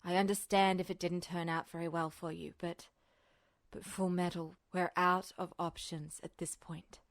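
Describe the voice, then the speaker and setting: Woman voicing a low, urgent, smug older colonel. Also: little pitch movement, dry recording, studio quality, natural voice. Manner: measured, earnest, insistent. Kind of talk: fan fiction audiobook dialogue.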